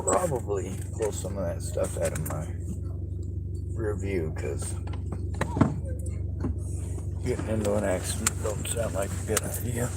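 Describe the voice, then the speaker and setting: Nasal male vlogger, indignant rant, inside a moving car, loud road noise. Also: lowish voice, sing-song, normal speed. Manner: rambling, then ranting and indignant. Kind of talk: casual vlog while driving.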